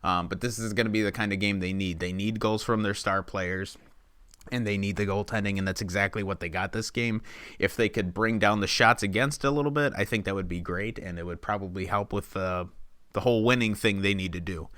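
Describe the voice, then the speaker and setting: A man's voice: fairly low pitch, a little fast, fairly bright tone, clean tone, no echo. A young man co-hosting a podcast, talking steadily and at length, with filler words along the way. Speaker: podcast co-host. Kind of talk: casual chat.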